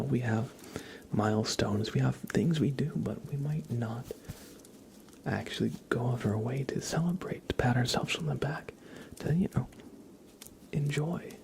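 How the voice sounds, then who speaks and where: A man hosting an ASMR livestream, giving card readings in a gentle, halting way as he thinks aloud. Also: mid-range voice, gravelly, slight background noise. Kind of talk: whispered ASMR, casual tarot card reading.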